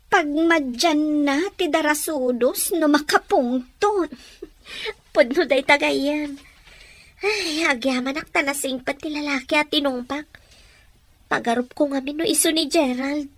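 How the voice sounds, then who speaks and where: Actress as young woman, high voice, Filipino accent, heartbroken, hot-tempered, remorseful, audio drama. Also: fairly bright tone. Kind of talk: emotional audio drama acting.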